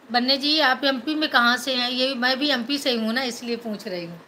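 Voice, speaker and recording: A middle-aged woman from Madhya Pradesh chats through her phone on a live stream, greeting viewers and thanking them over and over. Her voice is bright and sits a little high, with a faint hiss of room noise under it. She is recorded in a small indoor room. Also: a little fast. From Madhya Pradesh, India. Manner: chatty, thanks viewers over and over, mixes English into Hindi. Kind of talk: casual live-stream chat, reading and answering comments. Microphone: phone held at arm's length.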